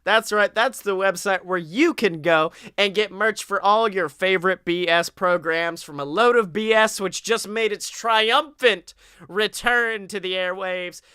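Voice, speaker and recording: A young man with a high, bright voice chats casually as a podcast host. He speaks from a room at home. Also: unhurried, clean tone, no echo, studio quality. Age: young adult.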